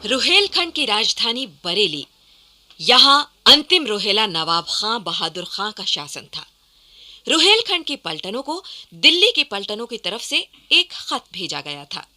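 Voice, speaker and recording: A woman serves as narrator of a historical radio play. Her voice is high and very bright, with lively swings in pitch as she delivers the scripted account dramatically. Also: unhurried.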